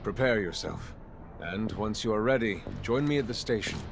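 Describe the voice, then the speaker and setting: A man's voice: fairly low pitch, animated, moderate pace. An actor playing a stern, composed military master in a video game, formal and stiff as he instructs. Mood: formal, steady. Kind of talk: scripted video game dialogue. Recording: clear noise of battle ambience behind.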